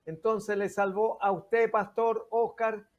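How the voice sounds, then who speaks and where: An older man with a Chilean accent hosting a religious interview as a pastor, speaking in a deliberate, halting, preacherly way on a dull recording. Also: high-pitched, little pitch movement. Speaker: TV host and pastor. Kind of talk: religious interview, devotional talk.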